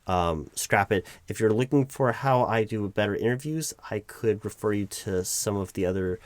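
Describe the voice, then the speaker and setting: A man's voice: fairly low pitch, animated, moderate pace, dry recording, close to an everyday voice. A man playing a flustered, exasperated recruiting manager in a one-sided office roleplay, stammering and often correcting himself. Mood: exasperated, insistent. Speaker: roleplay performer. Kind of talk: improvised one-sided roleplay, loud spoken ASMR.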